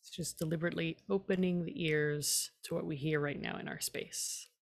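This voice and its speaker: A woman leading a guided meditation as a teacher, speaking in a calm, unhurried way with gentle instruction and long pauses. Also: low voice.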